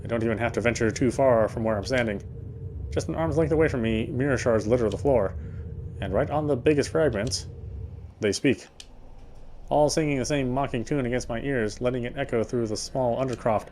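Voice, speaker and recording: A man's voice: medium pitch, brisk, a little mellow. A man playing a visual novel, reading its narration aloud in a dramatic storytelling style. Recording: faint background noise.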